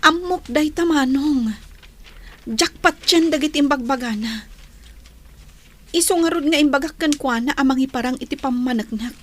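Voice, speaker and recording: A woman's voice: sits high, normal speed, long pauses, lightly acted. A woman with an Ilocano accent playing a worried, loyal younger sister in a radio drama. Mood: concerned.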